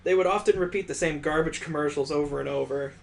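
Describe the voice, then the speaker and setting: A man's voice: a little high, fast, vocal fry, slight background noise. A man hosting a let's play, reading a visual novel aloud expressively with sarcastic asides.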